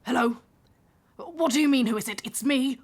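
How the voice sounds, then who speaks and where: A woman's voice: high-pitched, normal speed. A woman playing a brusque, imposing British man in his late forties, with clipped, punchy, staccato delivery in an audio drama. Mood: clipped, defensive. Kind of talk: audio drama phone-call dialogue.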